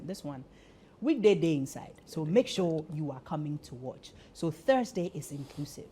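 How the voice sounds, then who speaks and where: A woman's voice: deep voice, mellow, a little hiss or hum. A woman with a Ghanaian accent chatting playfully and effusively as host of a live morning television show.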